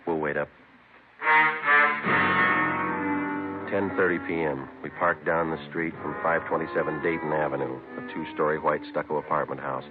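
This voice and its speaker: An actor playing a terse police detective who narrates his case in a clipped, deadpan way in a 1950s radio drama. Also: very deep voice, brisk, over loud music. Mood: matter-of-fact. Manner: clipped, deadpan, matter-of-fact. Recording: muffled old radio broadcast recording.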